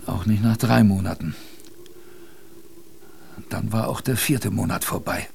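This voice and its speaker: Gravelly, wry expedition survivor. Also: male voice, fairly low pitch, a little slow, darkish tone, slight background noise. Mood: grave, reflective. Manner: wry, colloquial, thoughtful. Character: former polar expedition man recalling his hardships with wry humour. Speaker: narrator. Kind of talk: conversational storytelling in a German radio drama.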